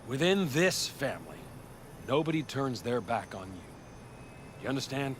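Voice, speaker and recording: An actor playing an older nomad clan leader in a video game, blunt and proud, with a folksy, speechifying manner. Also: male voice, mid-range voice, sing-song, measured pace, a little hiss or hum. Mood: solemn conviction.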